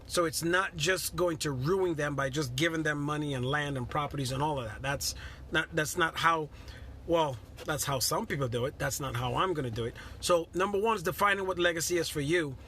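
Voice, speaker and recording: A man's voice: a little high, a little fast, bright, forceful tone, slight background noise. A middle-aged man from Guyana giving a casual motivational talk on a live stream, earnest and repetitive, greeting viewers by name.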